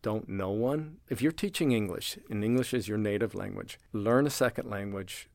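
An older man speaks in a low, gravelly voice. He tells anecdotes with energy, playing out both sides of a conversation. The sound is close and dry. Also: moderate pace, dry recording. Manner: animated storyteller, acts out dialogue, laughs often. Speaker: language center director, interviewee. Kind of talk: casual interview with anecdotes.

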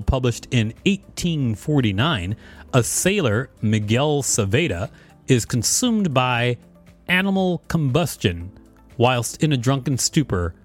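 Man reading aloud with very animated pitch. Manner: playful, joking asides. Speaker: co-host reading encyclopedia articles aloud. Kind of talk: read-aloud article with casual asides.

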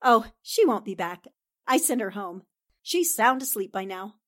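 A woman playing a composed, brave newspaperwoman in a 1940s-style radio thriller, brisk and self-possessed. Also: mid-range voice, animated, a bit bright. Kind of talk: 1940s radio drama acting.

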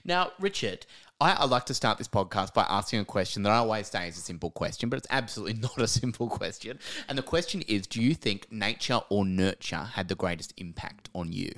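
A man's voice: on the low side, animated, a little fast, fairly bright tone. An Australian man hosting a podcast in a casual, conversational interview style. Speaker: podcast host.

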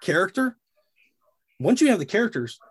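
Animated husky-voiced voice actor. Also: male voice, high-pitched, moderate pace. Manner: animated, demonstrates character voices mid-sentence. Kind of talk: casual interview chat.

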